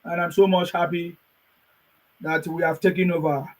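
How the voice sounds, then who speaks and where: A man's voice: on the high side, flat delivery, normal speed, low-quality recording. A man with a voice worn from chanting, joyfully addressing his followers and urging them on with repeated phrases. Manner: joyful, repetitive, exhorting. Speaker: political supporter addressing followers. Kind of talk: excited celebratory address.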